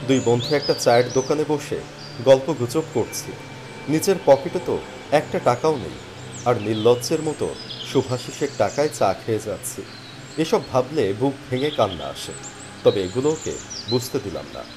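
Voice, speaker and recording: A man playing a weary, penniless job seeker who tells his story in expressive, plaintive dramatic narration. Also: medium pitch, normal speed, noisy background, lightly acted. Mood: weary, reflective. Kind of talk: first-person dramatic story narration and character dialogue. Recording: music behind the voice. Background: background music.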